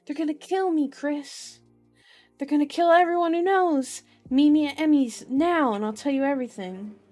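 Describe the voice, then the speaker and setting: A woman's voice: medium pitch, quick pace, warm tone, faint music behind, lightly acted. A woman voicing a desperate, frightened man who pleads urgently, as in an audiobook. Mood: desperate, pleading.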